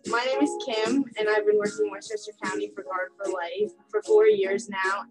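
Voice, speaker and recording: Young woman recounting over loud music. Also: mid-range voice, fairly even intonation, moderate pace, low-quality recording. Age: young adult. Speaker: lifeguard.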